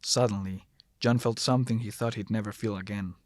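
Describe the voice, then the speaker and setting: A man's voice: lowish voice, fairly even intonation, brisk, no echo. A man narrating a scripted horror story as the narrator, recorded close to the mic.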